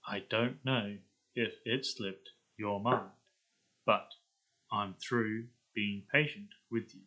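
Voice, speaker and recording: A man voicing a threatening, shadowy arms dealer in a slow, deliberate monotone. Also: fairly low pitch, muffled recording, full character voice. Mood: slow, cold menace.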